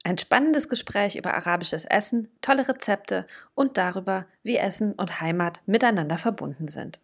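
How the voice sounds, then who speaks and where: A woman's voice: medium pitch, normal speed, creaky. German woman hosting an interview podcast. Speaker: podcast host. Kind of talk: scripted intro, then casual interview questions.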